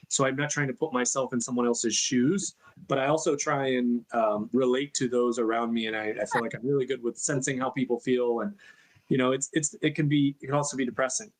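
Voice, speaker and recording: A man's voice: medium pitch, rough recording. A man speaking as a podcast guest, earnest and rambling, often repeating words as he works out his thoughts. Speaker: guest, real estate investor. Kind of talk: casual podcast conversation.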